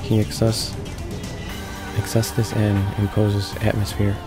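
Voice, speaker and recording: Halting, low-key man reading aloud, flat delivery. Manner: halting reading, stumbles and repeats words. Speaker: let's play narrator. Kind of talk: read-along of a visual novel. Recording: voice-over with game music behind.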